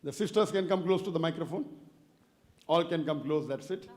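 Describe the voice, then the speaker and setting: High-voiced male lecturer, polite and measured. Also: a little mellow. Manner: polite, measured, leads the crowd in call-and-response. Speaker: Islamic lecturer. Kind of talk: public religious lecture. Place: lecture hall. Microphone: stage microphone.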